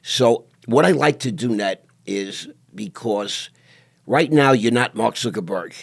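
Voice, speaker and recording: A man's voice: medium pitch, a little slow, vocal fry. A man hosting a podcast interview from a studio, talking in brash, hype-man banter. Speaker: podcast host. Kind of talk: casual interview.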